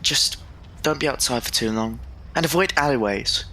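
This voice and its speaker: Man acting bright-voiced earnest young Victorian gentleman. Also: medium pitch, moderate pace. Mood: earnest, concerned. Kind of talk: period audio drama acting.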